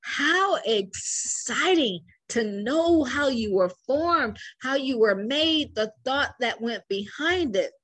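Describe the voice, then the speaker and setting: High-pitched woman preaching fervently, slow. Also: expressive, a bit bright, poor audio. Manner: fervent, calls for responses from listeners. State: hoarse, losing her voice and coughing. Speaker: faith and business speaker. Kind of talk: preaching to online viewers.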